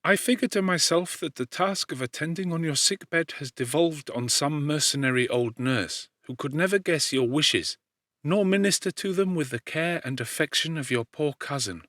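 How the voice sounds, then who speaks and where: A male audiobook narrator reading the letter of an affectionate young woman to her cousin, gentle and expressive. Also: fairly high pitch, normal speed, dry recording, studio quality, natural voice. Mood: tender. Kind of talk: audiobook reading of a classic novel.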